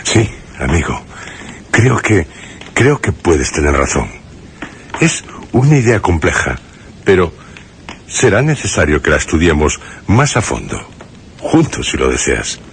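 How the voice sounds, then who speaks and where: A wary, frightened narrator in a horror radio play. His extremely deep voice is grave and dramatic, with expressive swings and careful pauses over faint noise. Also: full character voice. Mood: placating, wary. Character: fearful, cautious man narrating his ordeal at gunpoint. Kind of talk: first-person suspense radio drama narration.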